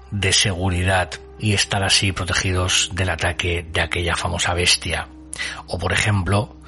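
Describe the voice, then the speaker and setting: A man hosting a radio show with scripted, atmospheric narration, solemn and deliberately phrased with dramatic pauses. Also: low voice, bright, forceful tone.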